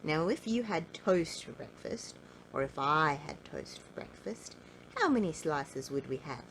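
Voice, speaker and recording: A woman reading a children's storybook in an animated, playful way, drawing out key words for emphasis. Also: low-pitched, unhurried, a little hiss or hum, poor audio. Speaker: storybook reader.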